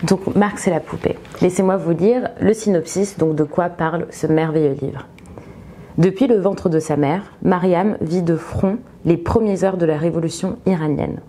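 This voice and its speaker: Young woman, low voice, mellow tone, halting delivery with many fillers. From Egypt. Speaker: book reviewer. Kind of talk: book review monologue with read-out synopsis.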